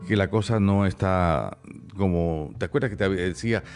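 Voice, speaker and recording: A man's voice: deep voice, normal speed, darkish tone, faint music behind. A man chatting as a guest, joking crudely and playfully. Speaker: podcast guest. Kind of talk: casual chat.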